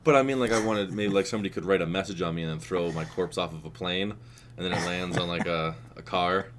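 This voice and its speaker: Nasal man with a cold, deadpan. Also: deep voice, fast talker, over music. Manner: dry, deadpan jokes with frequent laughs. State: nasal, getting over a cold. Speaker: podcast co-host. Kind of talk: casual chat.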